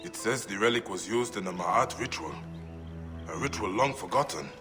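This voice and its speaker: An actor playing a grave, determined warrior who speaks tersely in a game cutscene. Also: male voice, on the low side, lively intonation, normal speed, in a large hall, over music, lightly acted. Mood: stern.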